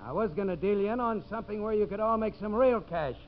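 An actor playing a tough, glib, smooth-talking timber boss in a 1950s radio drama. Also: male voice, high voice, fast talker, a little hiss or hum, close to an everyday voice. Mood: rallying, persuasive. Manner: glib, brash. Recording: muffled old radio recording.

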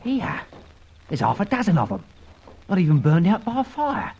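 Old radio adventure sidekick: down-to-earth working man, colloquial, wide swings in pitch, muffled sound. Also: sing-song, moderate pace, vocal fry, a little mellow, breathy edge, exaggerated voice. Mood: puzzled, interested. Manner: colloquial, ungrammatical, plain-spoken. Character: down-to-earth, watchful working-class male sidekick. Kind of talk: old-time radio adventure serial acting.

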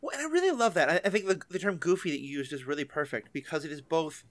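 A man's voice: fairly high pitch, lively intonation, quick pace, a bit bright, dry recording. A man hosting a casual interview podcast, talking enthusiastically in rambling questions. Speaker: podcast host interviewing guests.

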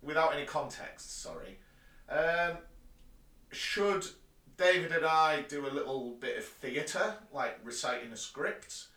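A man's voice: fairly high pitch, slow pace, pushed and bright. A man co-hosting a podcast, stuffed up from hay fever, joking dryly and sarcastically. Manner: dry, sarcastic humour. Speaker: podcast co-host. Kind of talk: casual podcast chat. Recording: echoey room, distant from the mic.

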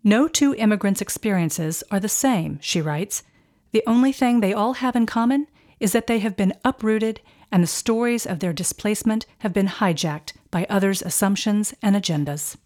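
A woman host giving scripted documentary narration in a steady, composed delivery. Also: mid-range voice, normal speed. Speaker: podcast narrator.

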